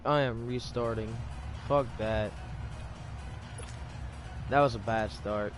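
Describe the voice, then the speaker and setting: A man's voice: medium pitch, fairly even intonation, takes it slowly, soft background music. A man streaming a video game, reacting casually in blunt, curt, profane bursts.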